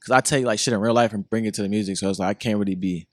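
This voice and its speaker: A man's voice: fairly low pitch, steady tone, rapid-fire, no echo, clean studio sound. A man guest, a creative director, talking casually in loose, rambling slang. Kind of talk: casual podcast chat.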